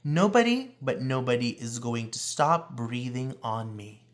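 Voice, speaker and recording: Male read-aloud presenter, children's nonfiction storybook, lively varied intonation, emphatic delivery. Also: mid-range voice, measured pace.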